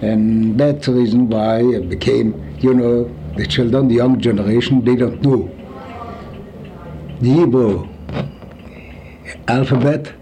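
A man in his seventies from Poland with a Yiddish accent, recalling his life in an interview, halting and searching for words. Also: mid-range voice, steady tone, dark tone, a little hiss or hum, rough recording. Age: 70s. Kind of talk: oral history recollection.

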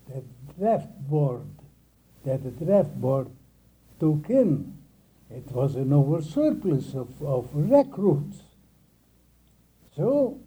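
An elderly man speaks very slowly and in a slightly raised register, his pitch swinging widely as he halts and restarts his phrases. His voice is dark and husky. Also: low-quality recording. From Russian Empire, near Kiev. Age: older. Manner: halting, with many restarts and repeated words. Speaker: interviewee. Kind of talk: oral history recollection.

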